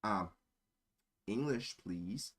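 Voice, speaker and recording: A man's voice: fairly low pitch, lively intonation, extremely slow, fairly bright tone. A man streaming casual chat in a rambling, easily sidetracked way. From born in Germany. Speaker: live streamer.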